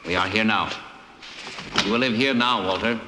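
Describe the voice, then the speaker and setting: An American actor playing a cold, logical, age-old alien in a 1950s radio drama, speaking in formal, literal, clipped statements. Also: male voice, on the low side, moderate pace, background noise, natural voice. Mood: detached, logical. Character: cold logical alien zookeeper, male, thousands of years old. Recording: vintage 1950s radio recording.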